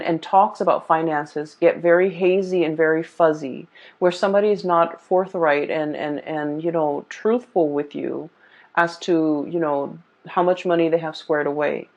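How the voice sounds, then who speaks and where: A woman giving a casual off-the-cuff tarot reading, explaining things in a halting, hesitant way. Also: deep voice, a little mellow. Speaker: tarot reader. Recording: dull, muffled lo-fi sound.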